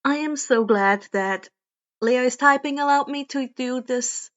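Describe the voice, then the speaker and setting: High-voiced woman reading a story aloud. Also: a little slow, highs cut off. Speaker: podfic reader. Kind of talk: expressive fan fiction audiobook narration.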